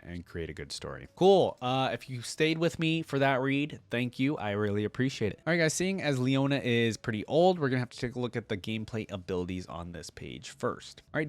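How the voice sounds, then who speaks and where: A man's voice: mid-range voice, no room sound. A man hosting a reaction video, reading stories aloud and commenting on them in a casual, slangy, conversational way. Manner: informal, conversational asides with slangy exclamations.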